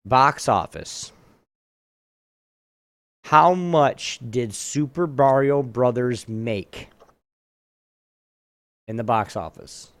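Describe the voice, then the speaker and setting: A man's voice: mid-range voice, extremely slow, pauses often, dry recording. A man hosting a casual gaming talk show, chatting informally and running a trivia game.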